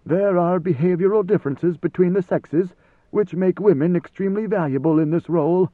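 A man voicing an ancient, godlike ruler who speaks in a calm, knowing, lordly and deliberate way, as in an audiobook. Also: sits high, pitched above the performer's own voice. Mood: lordly, probing. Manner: measured, deliberate, formal. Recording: slightly roomy, phone-like narrow sound.

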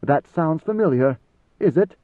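Man voicing a proud, blunt warrior, pitched up. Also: expressive, unhurried. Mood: wary, puzzled. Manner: blunt, challenging. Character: proud, blunt male warrior, wary and questioning. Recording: slightly roomy, phone-like narrow sound.

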